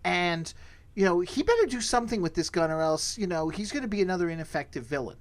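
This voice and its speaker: A man's voice: high-pitched, sing-song, fast. A man co-hosting a podcast, recapping casually in an amused, conversational way. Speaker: podcast co-host. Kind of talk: casual humorous episode recap.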